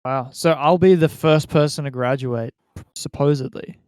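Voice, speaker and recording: A young man hosts a loose, casual group chat. His voice sits fairly high for a man and sounds smooth and clean, recorded close and dry. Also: moderate pace, a little mellow, no room sound. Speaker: podcast host.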